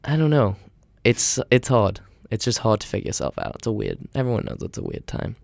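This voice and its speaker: A man's voice: fairly low pitch, wildly swooping pitch, brisk, gravelly, dry recording, studio quality. Easygoing young man, rambling chat. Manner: rambling, easygoing, lots of "like" and "you know". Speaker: conversation partner. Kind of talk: casual chat.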